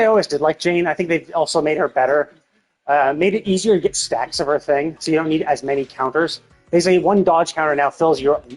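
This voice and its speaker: A man streaming video games and chatting casually, thinking aloud in a rambling way. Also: fairly high pitch. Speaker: video game livestreamer.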